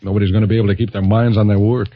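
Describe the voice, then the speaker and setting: Actor as a wry, husky-voiced suitor, vintage radio. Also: male voice, on the low side, little pitch movement, very fast, natural voice. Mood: smitten. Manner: wry, sarcastic. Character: wisecracking, jealous male suitor of a lady politician. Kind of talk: old-time radio comedy acting. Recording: muffled vintage radio recording.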